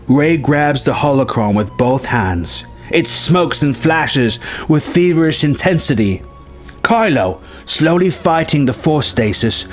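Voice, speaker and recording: A man telling a film story as a narrator, with a dramatic delivery and pauses for suspense. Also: mid-range voice. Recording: music bed under the voice. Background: music.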